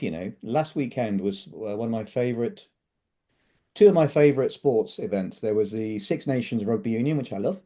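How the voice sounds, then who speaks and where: A British man co-hosting a podcast, telling stories with enthusiasm. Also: medium pitch. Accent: British English. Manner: enthusiastic storyteller, lively. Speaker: podcast co-host. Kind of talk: casual podcast chat.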